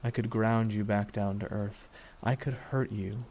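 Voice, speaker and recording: A low-voiced, very mellow supervillain, acted by a man, weary with grief and bitterly vengeful. He speaks in a brooding ASMR roleplay monologue, leaving deliberate pauses. Rain and steady noise sit behind the voice. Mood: bitter, accusing. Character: grieving vengeful male supervillain, weary, menacing, softly bitter.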